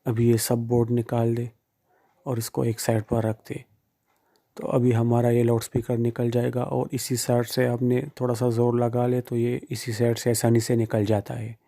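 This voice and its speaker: Man, tutorial narration, very flat intonation, soft-edged mellow tone, informal, step-by-step, addressing viewers. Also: medium pitch, normal speed, gravelly. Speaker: phone repair tutorial presenter. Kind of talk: instructional step-by-step how-to narration.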